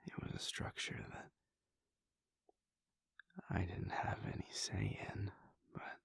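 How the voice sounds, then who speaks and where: A man voicing a brooding, conflicted male vampire prince in a whispered audio roleplay monologue, hesitant and breathy. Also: slow, no room sound, clean studio sound, close to an everyday voice. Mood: wistful. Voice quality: gravelly, breathy. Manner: soft whispering, hesitant restarts.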